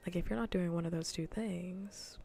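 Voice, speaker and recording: A woman livestreamer chatting casually in a soft, breathy, often near-whispered ASMR style, close to the microphone, languid, teasing and blunt. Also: lowish voice, steady tone, normal speed. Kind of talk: soft-spoken ASMR, casual livestream chat while gaming. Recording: faint background noise.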